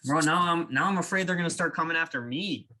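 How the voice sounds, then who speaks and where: Young guy on a sports podcast, bright punchy voice, jokey and fired up. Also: a little high. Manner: animated, joking, quick opinions. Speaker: podcast co-host. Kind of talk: casual sports chat.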